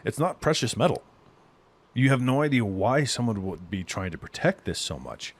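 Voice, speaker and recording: A man's voice: medium pitch, sing-song, normal speed. A man narrating a tabletop game as the game master.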